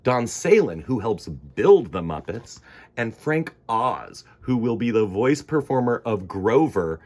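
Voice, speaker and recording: A man reading a children's picture book aloud in the first person, theatrical, sometimes dropping into hushed emphasis. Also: mid-range voice, sing-song, slow. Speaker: children's storytime reader.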